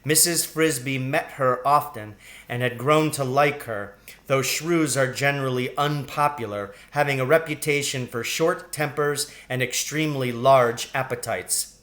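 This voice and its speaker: Guy reading a kids book out loud, really slow and expressive, mid-pitched voice. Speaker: audiobook reader. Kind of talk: children's storybook narration.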